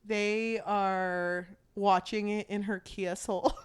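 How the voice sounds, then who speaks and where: A woman's voice: medium pitch, fairly even intonation, slow, smooth. A woman co-hosting a book podcast in casual conversation, joking and swearing freely. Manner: irreverent, swears freely, jokey. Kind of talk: casual book discussion chat.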